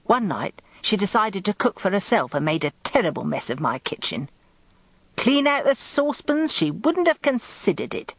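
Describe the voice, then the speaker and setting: A woman's voice: mid-range voice, big pitch swings, moderate pace, no room sound. A woman voicing a blunt, resentful working-class English housekeeper who is heavy and gasps as she grumbles, in a radio drama. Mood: scornful, indignant. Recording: dull lo-fi radio recording.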